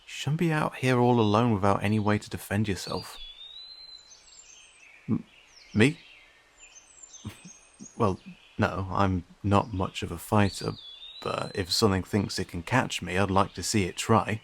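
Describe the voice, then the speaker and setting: Casting: male actor as young catfolk man for fantasy audio drama; lowish, unhurried, informal, gently teasing, woodland ambience behind. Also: gravelly, a little hiss or hum. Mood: friendly, teasing. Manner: informal, hesitant, gently questioning with dry teasing. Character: friendly, teasing young male cat-person, agile and concerned. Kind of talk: audio roleplay drama addressed to a silent listener.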